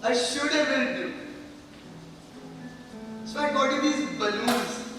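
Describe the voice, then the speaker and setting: A man's voice: very high-pitched, slow pace, music in the background. A man acting on an amateur stage as an awkward, guilt-ridden young friend, speaking hesitantly and self-consciously. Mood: guilty, apologetic. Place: stage in a large hall. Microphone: distant microphone. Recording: echoey hall from far off, noisy.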